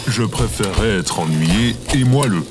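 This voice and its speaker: Man playing a low, deadpan, pampered creature. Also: normal speed, loud music behind the voice, natural voice. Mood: smug, languid. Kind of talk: audio fiction acting.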